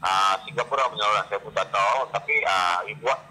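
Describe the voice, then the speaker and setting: Male, low and very sharp raspy voice, halting informal talk on a rough call recording. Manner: halting, repetitive short phrases. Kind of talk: informal phone conversation. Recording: harsh, rough secretly recorded phone call.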